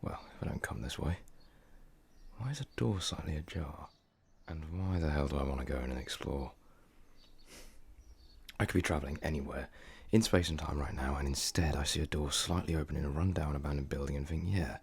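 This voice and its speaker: Man acting a very deep, gravelly, wry explorer. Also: fairly quick, exaggerated voice. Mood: curious, wary. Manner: musing to himself, wry, hesitant. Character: curious, self-questioning male time traveller exploring alone. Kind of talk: audio drama monologue and dialogue. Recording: music under the voice.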